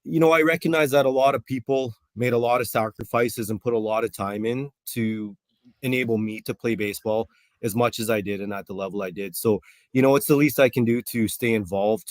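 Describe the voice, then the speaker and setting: Man in his 40s, baseball coach guest, upbeat, relaxed, dry close mic. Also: on the low side, no room sound. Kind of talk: casual interview.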